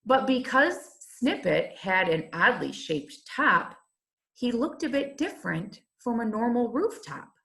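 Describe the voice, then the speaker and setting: A woman reading a rhyming children's storybook aloud, in a measured and expressive teacher's manner. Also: fairly high pitch, vocal fry.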